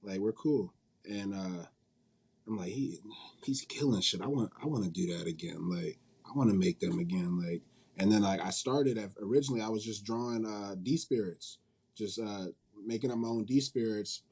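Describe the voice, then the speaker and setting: A man's voice: lowish voice, moderate pace, vocal fry, a little mellow. A man being interviewed in a casual, informal and rambling way, often correcting himself as he goes. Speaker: interview guest, manga company head and creator.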